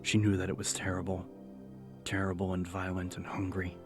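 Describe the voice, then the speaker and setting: A man narrating a scripted horror audiobook in a measured, serious storytelling manner. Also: sits low, completely flat delivery. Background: music.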